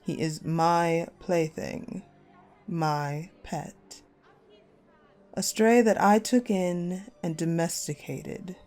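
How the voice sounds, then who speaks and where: A woman playing a cold, possessive ice-queen general in a dark romance audio roleplay, commanding and menacing. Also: on the low side, faint music behind. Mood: possessive, threatening. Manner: commanding, menacing, slow and deliberate, dropping to hushed.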